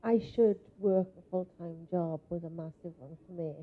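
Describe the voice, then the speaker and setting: Audience member, female, lowish voice, unscripted and candid, dull narrow-band hall audio. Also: normal speed. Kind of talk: spontaneous personal testimony. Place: conference hall. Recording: muffled lo-fi live hall recording.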